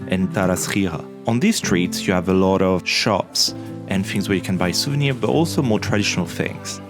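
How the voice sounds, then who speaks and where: A young man telling about his travels in a relaxed, chatty way, with lots of small fillers. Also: on the low side. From Morocco. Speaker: travel vlogger. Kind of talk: casual travel vlog narration.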